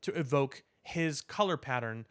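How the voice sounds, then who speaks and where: Guy cohosting a casual pop culture podcast, mid pitched voice, lots of opinions, explains stuff at length. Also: lively intonation, normal speed, smooth. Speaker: podcast co-host.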